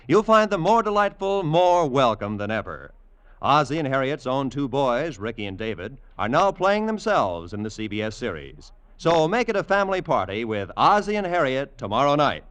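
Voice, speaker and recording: A man's voice: mid-range voice, fairly bright tone. A man working as a radio announcer, reading scripted promos and credits in a polished, old-time broadcast style. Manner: polished, presentational. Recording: vintage radio broadcast with music.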